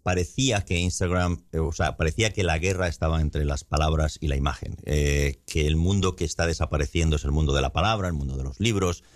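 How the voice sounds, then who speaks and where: A man's voice: a bass voice, fast, few pauses, no echo. A bilingual man co-hosting a casual chat, musing at length and joking. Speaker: co-host.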